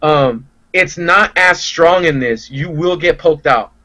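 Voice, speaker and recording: A man's voice: fairly high pitch, slow pace, sharp tone. A man giving his impressions in a casual discussion as a guest on a gaming podcast.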